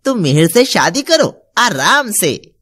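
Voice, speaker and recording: A woman voicing a loyal male henchman, a chameleon creature, reassuring and dramatic, in an animated fairy tale. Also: mid-range voice, slow, slight background noise.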